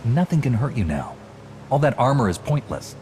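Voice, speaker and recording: A man's voice: mid-range voice, big pitch swings, normal speed, darkish tone, faint music behind, lightly acted. A man playing a resolute, skeptical Jedi knight hero in a video game, firm and direct. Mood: guarded. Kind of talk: dramatic video game voice acting.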